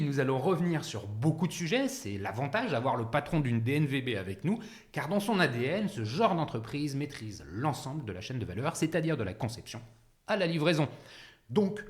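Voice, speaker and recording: A man's voice: mid-range voice, very animated, a little fast. A man hosting an interview podcast, speaking to the listener and guest in an informal way. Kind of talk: podcast introduction and conversational interview.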